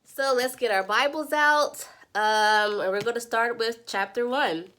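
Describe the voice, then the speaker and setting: A woman's voice: on the high side, unhurried, a bit bright. Congested woman teaching a Bible summary. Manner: earnest, preacherly teaching. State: congested, nasal from a sinus infection. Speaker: Bible study vlogger. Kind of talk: devotional Bible summary vlog.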